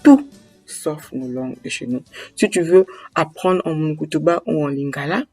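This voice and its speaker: A man's voice: fairly high pitch, moderate pace, darkish tone, no echo, faint music behind. A young man, speaking emphatically and repeating phrases for weight in a passionate talk. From Republic of the Congo. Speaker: host. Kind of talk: impassioned monologue on language and culture.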